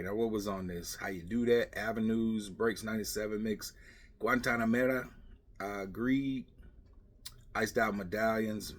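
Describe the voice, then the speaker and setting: A middle-aged man chatting casually on a vlog, muttering asides in a rambling way as he sorts through things. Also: lowish voice. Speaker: vlog host. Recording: clear background noise with clicking, clattering.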